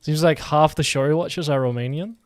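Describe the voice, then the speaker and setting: A man's voice: on the high side, fairly quick, smooth, no room sound, clean studio sound. A man from Denmark chatting casually while streaming a game, rambling and answering his viewers' messages. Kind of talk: casual live game commentary.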